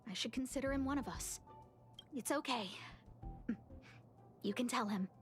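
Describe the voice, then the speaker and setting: An actress playing a polite, gentle young noblewoman in a video game, speaking in a conciliatory way. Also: fairly high pitch, normal speed, faint music behind, close to an everyday voice. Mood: reassuring. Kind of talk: scripted video game voice acting.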